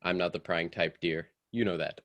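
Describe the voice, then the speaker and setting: A man playing a proper, cautious and ambitious husband in a radio drama, measured and mildly condescending. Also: low voice, fairly even intonation, fairly bright tone. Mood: mild disapproval. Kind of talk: old-time radio drama acting.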